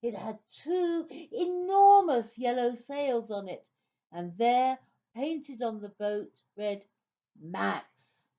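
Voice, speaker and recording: Female narrator, children's audio play; slightly high voice, slow deliberate storytelling with theatrical phrasing. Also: lively intonation, warm tone, dull audio.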